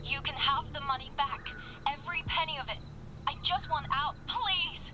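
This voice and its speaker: A woman's voice: sits high, normal speed, noisy background, close to an everyday voice. An actress playing a frightened woman pleading for a way out in a video game drama. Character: frightened woman pleading to quit a criminal deal.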